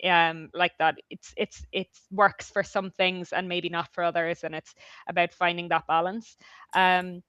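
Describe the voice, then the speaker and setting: A woman, a head of research, speaking on a webinar in a measured, thoughtful, slightly hesitant way over a video call. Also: lowish voice, little pitch movement, fairly bright tone, clean tone, no echo. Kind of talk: webinar presentation and discussion. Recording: dull, dry video-call audio.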